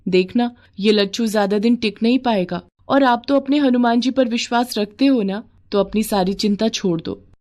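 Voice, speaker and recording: A woman voicing a caring, devout wife of a poor street vendor, reassuring and gentle in a story. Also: a little high, normal speed, close to an everyday voice.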